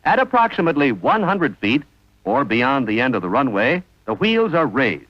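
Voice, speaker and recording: A man's voice: mid-range voice, sing-song, normal speed, no room sound, muffled recording. A man narrating an instructional training film in a formal, precise manner on a vintage soundtrack.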